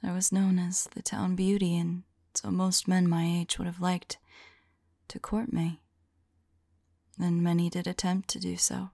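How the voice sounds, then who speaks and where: ASMR roleplay: ancient female vampire played by a woman, tender and sorrowful, mellow whispery voice with some creak, intimate and hesitant. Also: fairly low pitch, monotone, a little slow, dry recording. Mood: wistful, tender. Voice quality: soft, breathy, whispery. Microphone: close microphone. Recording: close, dry microphone.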